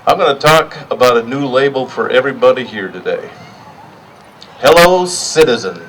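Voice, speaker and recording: A middle-aged man giving a partly improvised rally speech in a deliberate, plainspoken way, pausing for emphasis. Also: mid-range voice, expressive, fairly bright tone, far from the mic, a little hiss or hum, low-quality recording. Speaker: rally speaker.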